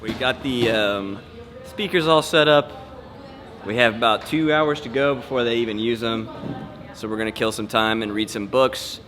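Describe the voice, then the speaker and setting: A man's voice: mid-range voice, moderate pace, fairly bright tone, faint music behind. An American man hosting a casual gig vlog as a live sound engineer. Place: event venue during setup. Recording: noisy, reverberant venue sound.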